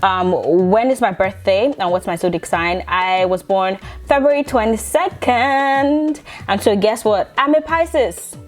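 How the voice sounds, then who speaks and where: Young Nigerian woman, mid-pitched, casual vlogger, chatty and quick to laugh. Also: lively intonation, faint music behind. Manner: chatty, laughs often, many restarts. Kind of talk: casual chat to camera. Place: bedroom.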